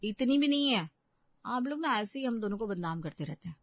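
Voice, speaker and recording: A woman's voice: fairly high pitch, lively intonation, brisk, dry recording, lo-fi sound. A woman voicing a cheeky young daughter-in-law who justifies herself huffily in a cartoon family comedy. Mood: defensive. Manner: self-justifying, huffy.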